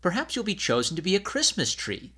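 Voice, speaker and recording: A kind little storybook chickadee, played by a man. The voice is pitched high and bright with cheer. It encourages gently, as a small friendly bird would. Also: wildly swooping pitch, brisk, slightly rough, full character voice. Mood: encouraging.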